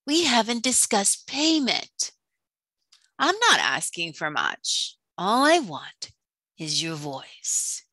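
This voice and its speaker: A devious storybook sea witch, played by a woman, bargains with teasing menace. She speaks slowly and deliberately in a bright, dry voice. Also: medium pitch, big pitch swings, no echo, heavily acted. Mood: sly, gloating.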